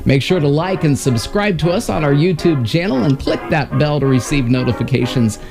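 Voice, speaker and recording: A man's voice: a little high, expressive, normal speed, vocal fry. A man reading scripted promotional narration as the presenter of a radio history programme. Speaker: presenter of old radio episodes. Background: loud music.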